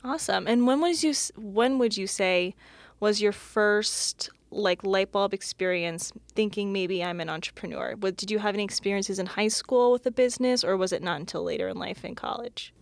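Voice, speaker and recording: A woman hosting a casual interview, asking questions and tossing in playful quick quips. Her voice sits at a middle pitch and is recorded close and dry. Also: gravelly, no room sound. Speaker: podcast interviewer.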